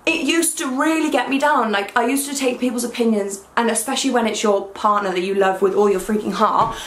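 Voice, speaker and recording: A young woman with a croaky, hungover voice, chatting excitedly in a casual try-on haul vlog. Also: medium pitch. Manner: excitable and gushing. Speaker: fashion vlogger. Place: small bedroom, indoors. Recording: echoey room.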